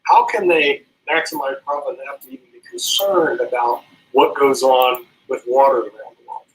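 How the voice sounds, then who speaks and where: A man hosting a casual interview show, asking his guest questions in an animated way. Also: medium pitch, moderate pace, poor audio. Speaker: show host.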